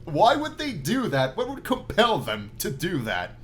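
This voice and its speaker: A man's voice: high-pitched, wildly swooping pitch, a little slow, a little hiss or hum. A man giving jokey playthrough commentary as he reads a visual novel aloud, often repeating phrases for emphasis. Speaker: gaming video host.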